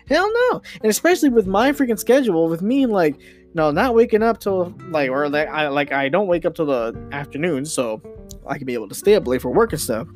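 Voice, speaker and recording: A man's voice: a little high, very animated, fairly quick, faint music behind. A young man hosting a solo podcast, ranting casually with many restarts and mild swearing. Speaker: podcast host.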